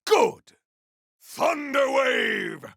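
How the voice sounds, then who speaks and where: A man voicing a proud, battle-hungry video game warrior, speaking in a theatrical, formal, declamatory manner with a gravelly, forceful edge. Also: very high voice, wildly swooping pitch, drawn-out words, no echo, studio quality, exaggerated voice. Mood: battle fury. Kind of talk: video game combat barks.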